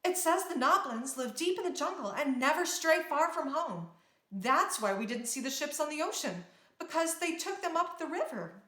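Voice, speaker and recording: A brave young storybook princess, acted out by a woman reader, speaks in a high, bright voice. She is earnest and determined, declaring things with royal command. The sound echoes in a room. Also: animated, normal speed. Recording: roomy, echoing sound.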